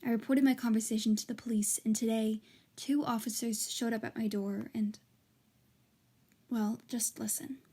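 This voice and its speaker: Actress as high-voiced earnest woman reporter. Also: level tone, normal speed, mellow, lightly acted. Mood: grave, confiding. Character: earnest, determined female investigative reporter, easily flustered. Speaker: actor and narrator in an audio drama. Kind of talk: podcast-style narration and naturalistic drama dialogue.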